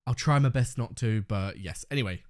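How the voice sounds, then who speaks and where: An excitable man comments casually on a TV episode, swearing freely and reacting with enthusiasm. He is getting over a sore throat. His voice sits at an ordinary male pitch. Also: a little fast. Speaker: reaction video host.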